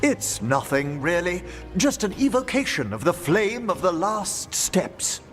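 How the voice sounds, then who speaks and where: An actor playing a genteel older necromancer professor in a video game, effusive, refined and polite. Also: male voice, high-pitched, sing-song, measured pace. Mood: modest, courteous. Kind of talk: video game cutscene acting. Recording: long reverb, loud music behind.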